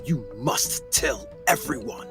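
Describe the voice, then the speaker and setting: A man playing a deranged, dying explorer in a radio play, speaking in a halting, intense, pleading way. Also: mid-range voice, vocal fry, fairly bright tone, faint music behind. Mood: desperate confession. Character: deranged, dying male explorer, tormented and desperate. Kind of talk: dramatic radio play acting.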